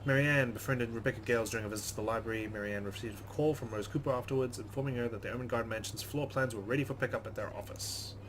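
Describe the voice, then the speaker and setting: A man's voice: medium pitch, fast, few pauses, fairly bright tone, a little hiss or hum, rough recording. A man hosting a let's play, reading game narration aloud with casual commentary.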